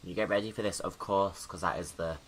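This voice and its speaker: A man's voice: low-pitched, fairly even intonation, a little fast, slight background noise. A man giving casual, rambling creator commentary on his game movie, often interrupting himself. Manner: informal, rambling, self-interrupting.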